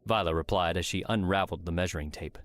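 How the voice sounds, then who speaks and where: A man's voice: low-pitched, fast talker, a bit bright. A man reading scripted story narration as an audiobook narrator.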